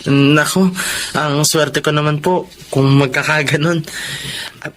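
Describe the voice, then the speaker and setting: A man's voice: fairly high pitch, steady tone, measured pace, close to an everyday voice. A young man acting a humble, respectful poor orphan waiter in a polite, earnest Tagalog radio drama style. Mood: hopeful, uneasy.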